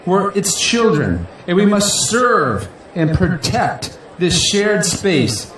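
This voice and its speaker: A man's voice: high-pitched, big pitch swings, slow, fairly bright tone, exaggerated voice. An actor playing a proud, idealistic male politician in an audio drama, giving a grandiose, earnest victory speech in declamatory rally style. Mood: earnest, hopeful. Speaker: newly elected city council president. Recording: echoey hall, distant mic, crowd noise. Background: crowd and faint noise.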